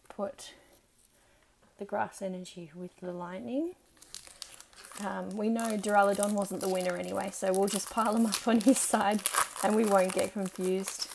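A woman's voice: a little high, vocal fry, darkish tone. A woman giving casual, chatty commentary on a card opening, talking to herself and reading out names as she goes. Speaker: trading card collector and video host.

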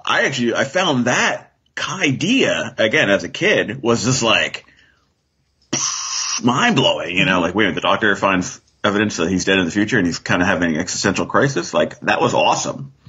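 A man's voice: fairly low pitch, sing-song, fairly quick, pushed and bright, breathy edge. A man chatting casually as a podcast guest, rambling and thinking aloud as he goes. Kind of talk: casual chat. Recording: dull, muffled lo-fi sound.

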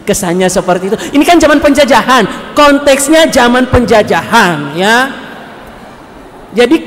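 A man in his thirties, a priest giving a lively homily at mass, animated and questioning the congregation, speaking into a headset mic. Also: very high voice. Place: large church hall. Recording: long hall reverb.

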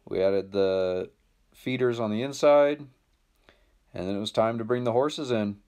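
A man's voice: on the low side, a little slow, warm tone. An American man in his thirties talking casually to viewers in a laid-back, plain-spoken way, like a DIY vlogger. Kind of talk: casual vlog narration to viewers.

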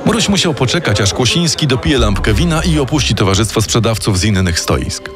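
A man's voice: mid-range voice, very animated, brisk. Man narrating true crime over music. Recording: music playing underneath.

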